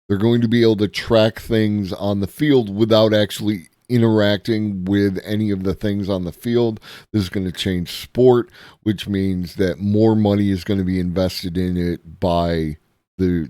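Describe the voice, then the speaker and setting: A man streaming live, reacting to a video in a musing, halting way with many ums. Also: fairly low pitch, steady tone, a little mellow, no room sound, studio quality.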